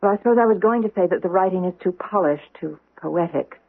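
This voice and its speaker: Older American woman, sharp-witted and precise, radio mystery drama, close dry sound, narrow old broadcast band. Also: on the low side, no room sound. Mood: pondering, intent. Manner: precise, wry, deliberate emphasis. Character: sharp-witted, bookish older sister of a police lieutenant. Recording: thin band-limited old radio recording.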